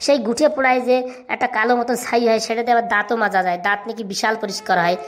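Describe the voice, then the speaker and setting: A woman plays a cheeky cartoon schoolboy with a highish voice. He rattles things off at great speed, like a recited lesson, sure of himself and bossy with it. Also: rapid-fire, natural voice. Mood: eager reciting. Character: cheeky know-it-all village schoolboy Hagu, bossy and boastful. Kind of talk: comic cartoon dialogue.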